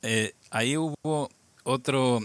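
A man in his sixties from Albacete with a Spain Spanish accent, a guest looking back on his past, speaking haltingly with frequent restarts. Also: medium pitch, no room sound. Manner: halting, many restarts and repeated words, reflective. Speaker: guest, amateur radio operator. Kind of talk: casual interview, reminiscing.

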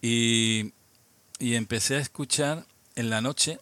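A man in his sixties from Albacete with a Spain Spanish accent, a guest looking back on his past, speaking haltingly with frequent restarts. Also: medium pitch, steady tone, pushed and bright. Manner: halting, many restarts and repeated words, reflective. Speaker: guest, amateur radio operator. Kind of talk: casual interview, reminiscing.

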